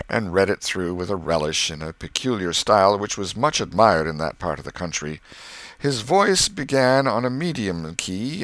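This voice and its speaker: A man reading a literary audiobook as narrator, in an expressive, measured storytelling manner. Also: deep voice, dry recording.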